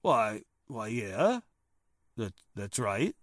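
An actor playing a rough, suspicious private detective with streetwise, ungrammatical talk in a 1940s-style radio drama. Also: male voice, fairly low pitch, big pitch swings, very slow, dry recording, studio quality, heavily acted. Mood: flustered, defensive. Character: blustering, uneducated male private detective, suspicious tough guy.

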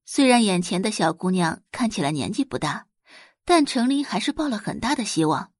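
A female audiobook narrator tells a story with widely moving intonation. The voice has a husky grain and is recorded close and dry, in a scripted, expressive storytelling style. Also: medium pitch, a little fast, dry recording.

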